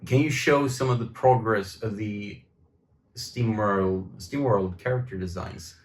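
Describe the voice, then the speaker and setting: Man, deep low voice, casual Q&A, dry brief replies, hesitant, roomy echo. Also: a little slow. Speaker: co-host reading viewer questions. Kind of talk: casual Q&A chat. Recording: echoey room.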